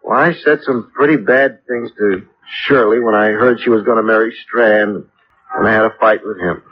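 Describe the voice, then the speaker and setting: An actor playing a distraught male ground-crew mechanic in old radio drama style, defensive, halting and stammering. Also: on the low side, lightly acted. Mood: shaken, remorseful. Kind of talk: 1950s radio drama acting. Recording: dull, hissy old radio recording.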